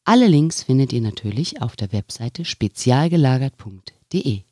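A man delivers a scripted podcast announcement. His voice is dark and husky, and his intonation moves around in a lively way. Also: mid-range voice, moderate pace, no room sound, clean studio sound.

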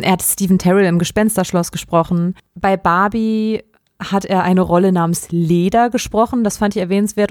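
A woman's voice: lowish voice, no echo, studio quality. A woman co-hosting a podcast, chatting and explaining as she recaps stories in a casual way. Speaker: podcast co-host. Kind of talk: casual chat, recapping an audio drama.

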